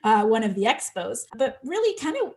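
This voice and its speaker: A woman's voice: on the high side, sing-song, moderate pace, a little mellow. Chatty woman podcast host. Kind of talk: conversational podcast interview.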